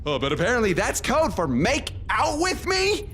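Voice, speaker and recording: A woman's voice: fairly high pitch, huge pitch swings, normal speed, a bit bright, a little hiss or hum. A woman playing a sarcastic, incredulous young opera soprano in an audio comedy sketch. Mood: hurt, incredulous.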